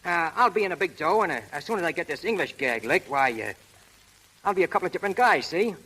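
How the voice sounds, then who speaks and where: An actor playing a brash, hot-tempered but lovestruck prizefight manager, talking in rough street slang in 1930s radio style. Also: male voice, fairly high pitch, lively intonation, fast talker, sharp tone, full character voice, rough recording. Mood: eager, awkward. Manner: tough, slangy, ungrammatical street talk. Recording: old 1930s radio broadcast recording.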